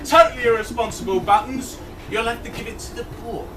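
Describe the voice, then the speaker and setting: A man's voice: very high-pitched, quick pace. A man playing an exasperated, hard-up henpecked baron in an amateur pantomime, blustering and playing to the audience. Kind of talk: amateur pantomime stage acting. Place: live amateur show at a tavern venue. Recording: echoey hall from far off, noisy crowd.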